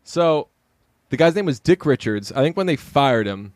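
A man's voice: medium pitch, lively intonation, normal speed, darkish tone, no echo, clean studio sound. A man co-hosting a podcast, rambling in casual chat with lots of fillers. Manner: rambling, full of fillers, puts on silly voices in quotes. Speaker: podcast co-host.

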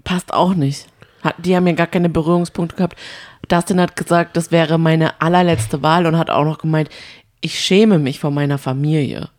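A woman's voice: deep voice, steady tone, moderate pace, a little mellow. A woman co-hosting a reality TV recap show, chatting casually and retelling episodes.

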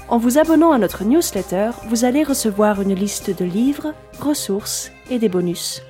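A woman host reading a scripted podcast introduction in a measured, even way. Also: mid-range voice. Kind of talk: scripted narration.

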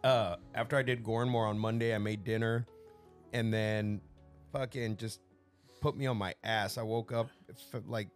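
A man's voice: fairly low pitch, steady tone, normal speed, soft background music. A man co-hosting a podcast in casual chat, congested from a sinus infection and swearing freely. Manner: profane, laid-back. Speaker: podcast co-host.

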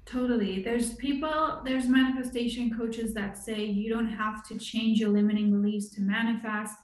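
A woman's voice: fairly high pitch, fairly even intonation, moderate pace, a little mellow. Upbeat woman coach in an echoey room. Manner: breezy, upbeat, filler-heavy. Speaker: manifestation coach, guest. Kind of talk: conversational interview.

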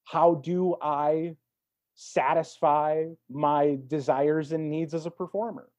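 Man, mellow tone, reflective interviewee, measured emphatic phrasing, lo-fi recording. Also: on the high side, fairly even intonation. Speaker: podcast guest, stage and voice actor.

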